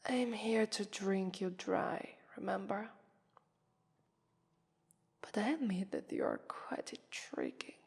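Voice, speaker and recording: A woman with an Italian accent voicing a haughty, taunting vampire mistress in an ASMR roleplay, breathy, condescending and often whispering. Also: medium pitch, slow, long pauses, a little mellow, exaggerated voice. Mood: predatory, deliberating. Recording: long reverberant echo.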